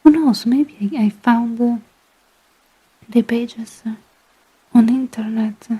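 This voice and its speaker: A woman from Italy with an Italian accent, whispering and softly chatting with hesitant fillers as an ASMR streamer. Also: on the high side, fairly even intonation, slow, slightly rough, low-quality recording. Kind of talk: soft-spoken ASMR storytelling and chat.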